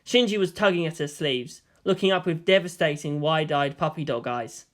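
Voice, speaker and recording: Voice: mid-range voice, normal speed. A narrator giving a dramatic scripted reading of a story.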